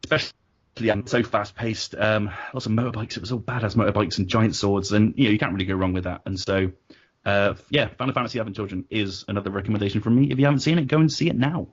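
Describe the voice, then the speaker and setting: A man's voice: fairly low pitch, fast talker. A man co-hosting a casual podcast, giving upbeat recommendations. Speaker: podcast co-host. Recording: dull lo-fi podcast audio.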